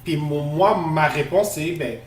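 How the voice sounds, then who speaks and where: A man's voice: fairly high pitch, measured pace, recorded from a distance. A man from Quebec with a Quebec French accent, co-hosting a podcast in casual discussion, earnest and emphatic, repeating words as he searches for them. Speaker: podcast co-host. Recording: echoey room, off-mic, faint noise.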